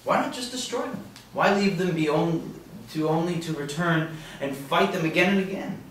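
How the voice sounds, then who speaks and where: A man's voice: on the high side, moderate pace, fairly bright tone, lightly acted. A man reading the part of a curious male forensic analyst at a table read, asking probing questions. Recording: roomy echo, distant mic, faint hiss.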